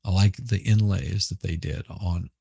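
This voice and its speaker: Man, hoarse, gravelly, husky, deep, slow, rambling casual chat, dry close sound. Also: fairly even intonation, warm tone, no echo. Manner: rambling, repeats words and restarts. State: hoarse from smoke. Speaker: podcast host.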